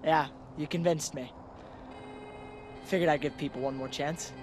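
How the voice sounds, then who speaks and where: A man's voice: on the high side, fairly even intonation, normal speed, close to an everyday voice. Subdued, guarded young man acted, music behind. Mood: wry, resigned. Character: guarded, disillusioned young male loner. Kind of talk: video game voice acting.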